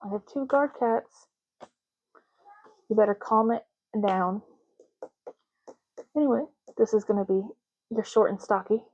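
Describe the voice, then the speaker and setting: A soft-spoken woman doing an ASMR roleplay as a clerk, explaining gently and unhurriedly in short, broken phrases. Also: medium pitch, measured pace, long pauses, no echo, lo-fi sound. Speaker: ASMR creator playing an ID card clerk.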